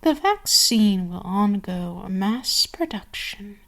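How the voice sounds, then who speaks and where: A woman performs as a domineering vampire queen in an intimate ASMR roleplay. She speaks very slowly and haughtily at a middling female pitch, letting pauses linger and slipping into breathy whispers. She is seductive and cruel, every phrase measured like a command. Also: animated, fairly bright tone. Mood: haughty scheming.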